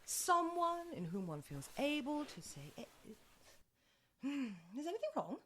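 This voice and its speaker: Woman playing a gushing widowed matchmaker, swooping high voice. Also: big pitch swings, normal speed, fairly bright tone. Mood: coy confiding. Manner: effusive, theatrical, chatty. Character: gushing, genteel widowed dating agency owner, middle-aged woman. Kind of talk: radio play acting.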